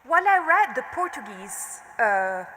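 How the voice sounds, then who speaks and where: A woman's voice: high-pitched, sing-song, sharp tone. Italian-accented woman lecturing in a reverberant hall. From Italy. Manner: measured, deliberate delivery with occasional slips and fillers. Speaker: art history researcher giving a conference lecture. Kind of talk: reading a prepared academic lecture.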